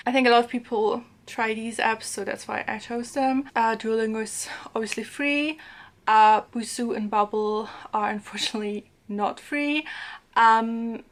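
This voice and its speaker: A young woman from German-speaking Switzerland, a native Swiss German speaker, chatting casually to camera about language apps with frequent ums and little laughs, in a small room. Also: fairly high pitch. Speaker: language vlogger. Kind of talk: casual app review to camera. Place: small room indoors, plain blue wall.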